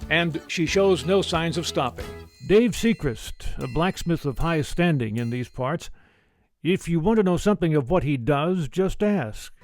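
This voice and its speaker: A male feature-show host with a fairly high voice narrates in a folksy, reflective way. His phrasing is deliberate, like a storyteller. Music plays behind him. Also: expressive.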